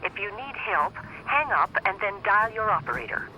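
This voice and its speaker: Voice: mid-range voice, big pitch swings, normal speed. A performer voicing a polite, formulaic automated telephone operator, heard through a telephone filter. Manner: formal, formulaic. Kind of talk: scripted recorded telephone announcement. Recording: muffled telephone-line sound over music.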